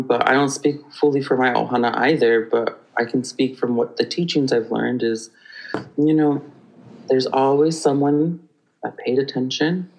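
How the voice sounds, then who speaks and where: A man from Hawaii, a podcast guest telling stories in an animated, rambling way with many restarts. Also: medium pitch, vocal fry, low-quality recording. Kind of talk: conversational storytelling.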